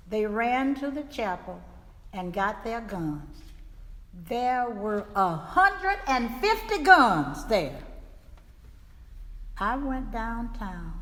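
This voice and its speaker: Grieving 1870s widow female performer, measured and solemn, higher-pitched, stage reading in a resonant hall. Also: a bit bright. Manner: slow, deliberate, solemn storytelling. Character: grieving widow recounting her husband's murder, 1875 Mississippi. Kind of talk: dramatic reading of historical testimony. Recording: reverberant hall.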